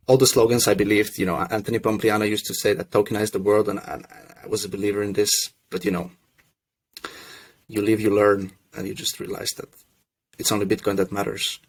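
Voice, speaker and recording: A young man from Russia who grew up in Finland, a podcast guest telling his story in a relaxed, self-deprecating way with many restarts. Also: on the low side. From Russia, raised in Finland. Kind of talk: casual podcast chat.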